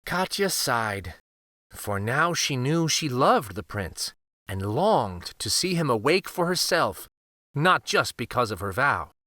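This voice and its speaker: Bright, highly animated male storyteller. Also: dry recording, clean studio sound. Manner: expressive, deliberate, theatrical. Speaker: children's storyteller. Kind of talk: dramatic picture-book read-aloud.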